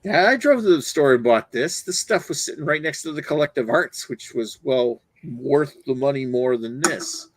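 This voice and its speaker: A man's voice: medium pitch, expressive, moderate pace, few pauses, no echo. Laid-back man reviewing beer. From Canada. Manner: laid-back, swears casually. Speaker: podcast host reviewing beer. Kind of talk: casual banter.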